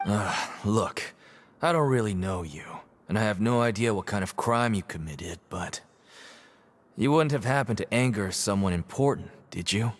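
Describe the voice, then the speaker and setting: An actor playing a nervous, fawning prison inmate who stammers and grovels, in video game dialogue. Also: male voice, on the low side, moderate pace, close to an everyday voice. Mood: evasive. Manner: stammering, anxious, obsequious.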